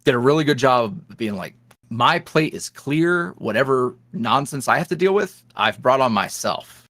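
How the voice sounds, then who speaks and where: A young man speaks candidly as an interview guest, his voice moving through a wide, lively pitch range. The sound is dry and close, with no room around it. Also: normal speed, no echo. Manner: candid, animated, jumps up in pitch when quoting others. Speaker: guest, ASMR roleplay creator. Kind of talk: casual interview chat.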